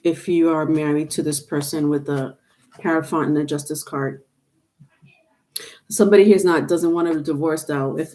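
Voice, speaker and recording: A woman's voice: low voice, dark tone. A woman with a stuffed-up nose giving a live tarot reading on camera, rambling with many fillers and restarts. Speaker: tarot reader. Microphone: webcam or phone on camera.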